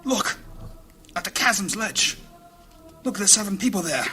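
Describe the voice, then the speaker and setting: An actor playing a solemn, commanding lord in a fantasy audio drama, formal and portentous in delivery. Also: male voice, sits high, animated, a little slow, sharp tone, faint music behind, heavily acted. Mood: solemn wonder. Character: solemn, commanding lord, observant ghostly time traveller.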